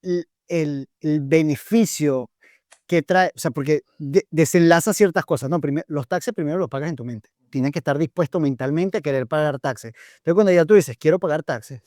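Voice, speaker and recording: A man with a notably high voice co-hosts a podcast, explaining points emphatically and peppering his talk with asides. The sound is dry and close, with no room around it. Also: moderate pace, darkish tone, dry recording. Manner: emphatic, explanatory, many "o sea" asides, mixes English finance words. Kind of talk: conversational podcast discussion.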